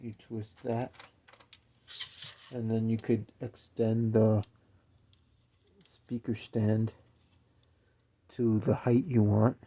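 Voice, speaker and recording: A man's voice: fairly low pitch, flat delivery, very slow, long pauses, dark tone. A man casually walking through an unboxing of a speaker stand, explaining each part as he goes and correcting himself along the way. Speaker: DJ reviewing gear.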